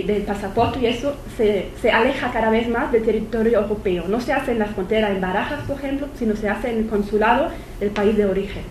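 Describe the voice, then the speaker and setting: A woman's voice: medium pitch, a little mellow. A woman speaking with a German accent, a non-native speaker giving an academic conference lecture at a university, hesitant, with many fillers and restarts. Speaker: visiting lecturer. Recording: echoey lecture hall, faint noise.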